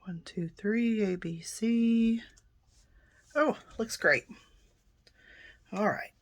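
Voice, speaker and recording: A middle-aged woman, hoarse and raspy after a coughing fit, calmly explaining a card-making project step by step and often clearing her throat. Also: extremely slow. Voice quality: deep and raspy. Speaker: card-making tutorial host. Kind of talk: casual crafting tutorial narration.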